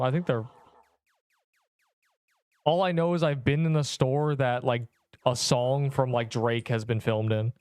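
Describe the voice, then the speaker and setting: A young man with a subtle Canadian accent chats loosely while streaming a game, trailing off and restarting his thoughts. His voice is smooth and clear, recorded dry and close. Faint music plays underneath. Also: mid-range voice, a little mellow, no room sound. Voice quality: rambling, self-interrupting chatter. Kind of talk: casual live-stream chat while gaming.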